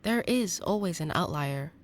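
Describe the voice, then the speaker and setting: A woman playing a defensive, stubborn young occultist in an audio drama, speaking informally in halting challenges. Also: lowish voice, lively intonation, a little hiss or hum, natural voice. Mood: earnest insistence.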